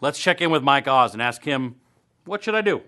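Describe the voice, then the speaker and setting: Lively, animated man hosting. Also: medium pitch, a little fast, a little hiss or hum. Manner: playful, tongue-in-cheek. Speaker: host of a local web series. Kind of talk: upbeat scripted news and events narration.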